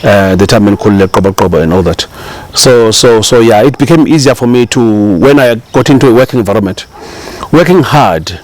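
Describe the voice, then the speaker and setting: A middle-aged South African man with a fairly low voice tells his story in a loose, rambling way. He circles back often, full of fillers and restarts, relaxed and unhurried as an interview guest. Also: dry recording. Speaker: chef, guest. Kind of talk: casual interview talk.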